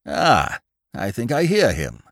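An audiobook character: a man playing an elderly English solicitor with an English accent. He is mild, courtly and old-fashioned, repeating phrases with relish. The pitch rises and falls freely, in a dry, close sound. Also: wildly swooping pitch, takes it slowly, vocal fry, fairly bright tone, no room sound, exaggerated voice. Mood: excited, admiring. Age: older.

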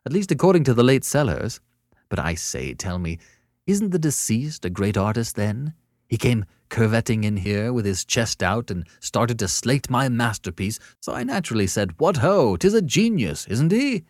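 A man's voice: mid-range voice, big pitch swings, moderate pace, a little mellow, dry recording, clean studio sound, voice pushed up, natural voice. A man voicing a good-humoured young male beginner painter in an audiobook story, breezy and mildly bewildered.

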